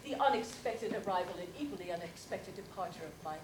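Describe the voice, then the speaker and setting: A woman's voice: lowish voice, lively intonation, fast talker, natural voice. A woman performing a comic monologue as a prim elderly widow with genteel, mannered speech and frequent laughter. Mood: prim, giggly. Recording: roomy, distant mic with background noise.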